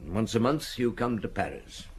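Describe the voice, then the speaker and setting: An actor playing a seasoned French police chief inspector in a radio drama, patient and dogged, speaking in a measured, probing, official way with thoughtful hums. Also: male voice, on the low side, lively intonation, slight background noise, lightly acted. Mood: probing, methodical. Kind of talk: radio drama acting, reminiscing and interrogating.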